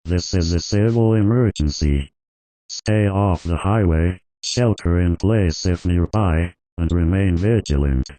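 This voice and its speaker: A man reading a scripted emergency broadcast alert in a flat, formal, measured and authoritative manner. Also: sits low, low-quality recording.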